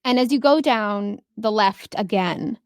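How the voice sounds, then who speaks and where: Female game master, medium pitch, narrating tabletop fantasy in a very dry acoustic. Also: unhurried, no room sound.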